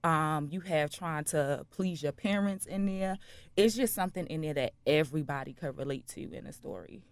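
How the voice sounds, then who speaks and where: A woman's voice: low voice, moderate pace, clear, resonant tone, no room sound. A woman interview guest, a writer and director, explaining a story in a studio interview.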